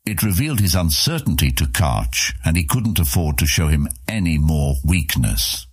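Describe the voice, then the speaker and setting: A man narrating a fantasy audiobook in a measured storytelling manner. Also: extremely low pitch, sing-song, husky, no echo, studio quality.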